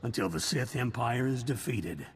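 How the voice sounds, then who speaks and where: Creaky-voiced, decisive military commander. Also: male voice, medium pitch, expressive, normal speed. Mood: commanding. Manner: decisive, formal. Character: brisk, authoritative male military commander. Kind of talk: video game cutscene voice acting. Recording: echoey hall with faint ambient noise.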